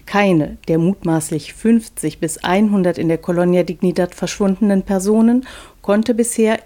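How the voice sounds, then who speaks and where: A woman's voice: lowish voice, dark tone. A woman reading scripted documentary narration as a reporter.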